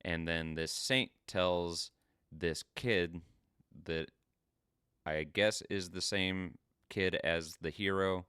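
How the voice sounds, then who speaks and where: A man hosting a podcast, recapping a story in an informal, sweary and halting way. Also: deep voice, bright, forceful tone, clean tone, no room sound, clean studio sound. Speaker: podcast host. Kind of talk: casual review and story recap.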